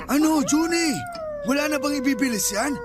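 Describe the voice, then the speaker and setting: High-voiced, nagging superstitious uncle. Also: male voice, lively intonation, moderate pace, background noise. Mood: uneasy, nagging. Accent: Filipino. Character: superstitious, nervous, scolding uncle of a young man. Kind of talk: Tagalog radio drama acting.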